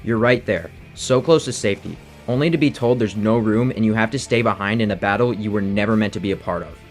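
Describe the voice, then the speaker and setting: A man's voice: fairly low pitch, fast, faint music behind. A man reading a scripted, analytical video essay narration about a game.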